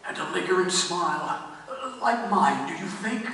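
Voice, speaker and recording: A man's voice: high-pitched, expressive, unhurried, vocal fry, heavily acted. An actor playing a grief-stricken, half-mad man in a gothic radio drama, with melodramatic, unsteady delivery. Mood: morbid grief. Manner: melodramatic, swinging from hushed faltering to wild laughing cries. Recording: very echoey hall, distant mic, faint noise.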